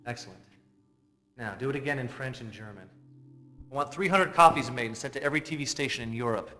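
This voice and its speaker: In a long reverb with faint music, a curt villain, played by a male actor, gives orders at a fast clip. The voice is sharp and imperious, that of a calculating propaganda director in a game cutscene. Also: mid-range voice, natural voice. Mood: cold, commanding. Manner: clipped, imperious.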